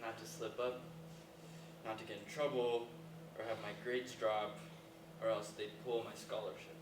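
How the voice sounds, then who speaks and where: An actor in a staged play reading, playing an earnest, hesitant, reflective young dropout in naturalistic dialogue. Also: male voice, lowish voice, level tone, normal speed, lightly acted. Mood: hesitant, sheepish. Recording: echoey, distant, noisy live stage recording.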